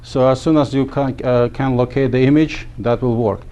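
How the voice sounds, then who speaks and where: A middle-aged man from Armenia teaching a class, talking haltingly with many fillers and restarts. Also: mid-range voice, fairly even intonation, mellow. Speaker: instructor. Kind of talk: classroom lecture. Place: small classroom.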